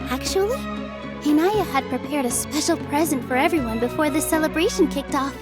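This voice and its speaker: An actress playing a gentle, earnest young theater performer in a game, speaking sincerely. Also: female voice, high voice, brisk, over music, lightly acted. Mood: explaining. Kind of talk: English game dub voice acting.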